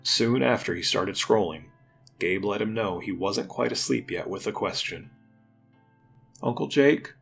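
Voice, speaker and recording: A man's voice: on the low side, normal speed, gravelly, fairly bright tone, soft background music. A man reading scripted, dramatic story narration for an audio drama.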